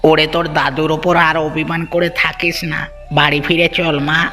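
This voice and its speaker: A man voicing a worried, pleading village uncle, earnest and animated, in a moral-story cartoon. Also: fairly high pitch, moderate pace. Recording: long hall reverb, music underneath.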